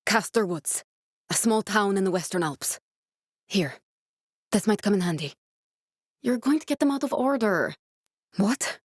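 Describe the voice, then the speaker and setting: A woman's voice: mid-range voice, breathy edge, no room sound, studio quality. An actress voicing a determined, caring young woman scientist in a video game, speaking in an earnest, direct, instructive way with naturalistic dramatic delivery. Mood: matter-of-fact, businesslike, then surprised, urgent.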